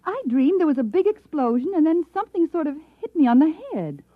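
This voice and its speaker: High lively practical housewife, concerned, 1950s radio drama, thin narrow-band old recording. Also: female voice, brisk, no echo. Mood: earnest.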